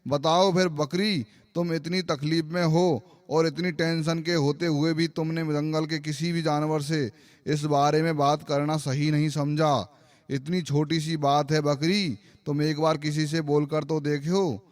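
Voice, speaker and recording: A man's voice: on the high side, little pitch movement, a little slow, no echo, natural voice. A man voicing a kindly lion king in a cartoon moral story, speaking with emphatic, fatherly authority. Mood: reassuring. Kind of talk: Hindi animated moral-story voice acting.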